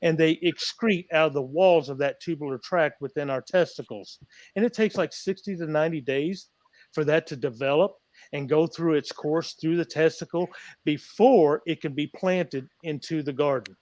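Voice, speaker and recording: Didactic man with a fairly high voice. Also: normal speed, a little mellow, no echo. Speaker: panelist. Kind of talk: conversational debate and explanation.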